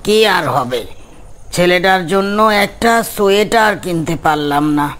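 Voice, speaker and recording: A man's voice: high-pitched, unhurried, fairly bright tone. A man voicing a poor, humble working father in a cartoon, meek and pleading. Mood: dejected. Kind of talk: cartoon voice acting. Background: background music.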